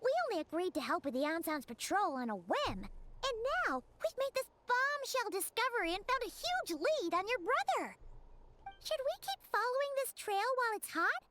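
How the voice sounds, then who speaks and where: A woman's voice: very high voice, very animated, moderate pace, noisy background. A woman voicing a tiny, chatty floating companion sprite in a video game, curious and talking about herself by name. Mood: thoughtful. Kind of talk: game voice acting.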